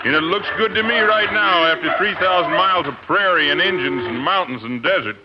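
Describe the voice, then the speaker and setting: An actor playing a folksy, hearty wagon master in rustic speech, in 1950s radio western style on a muffled, noisy old recording. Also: male voice, on the high side, brisk, natural voice.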